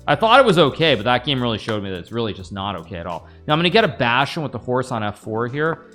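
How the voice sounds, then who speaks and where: A man's voice: mid-range voice, sing-song, fast talker, a bit bright, faint music behind. An American man in his thirties, streaming a chess game, thinking aloud and answering chat questions in a casual, rambling way. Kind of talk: casual live-stream commentary.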